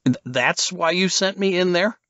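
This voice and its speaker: A man's voice: sits high, moderate pace, pushed and bright, no echo, dull audio, pitched above the performer's own voice. A man voicing an agitated, incredulous male agent who is easily rattled, in an audiobook thriller. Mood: angry disbelief.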